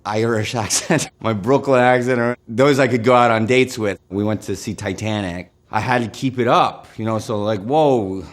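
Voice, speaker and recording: A man in his thirties from Northern California, telling relaxed stories in an interview with lots of fillers. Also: fairly low pitch, normal speed, hardly any pauses. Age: 30s. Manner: relaxed storytelling with frequent fillers. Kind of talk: casual anecdotal interview.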